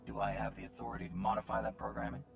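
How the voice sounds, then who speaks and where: Deep, gravelly commanding hero. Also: male voice, wildly swooping pitch, rapid-fire, faint music behind, exaggerated voice. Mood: firm, probing. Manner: direct, questioning, authoritative. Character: commanding, level-headed hero, a adult man. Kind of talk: video game cutscene acting.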